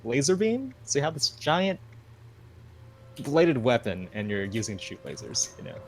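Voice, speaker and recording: Animated young man chatting while gaming. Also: medium pitch, moderate pace, a little hiss or hum. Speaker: co-player. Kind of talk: casual chat while gaming.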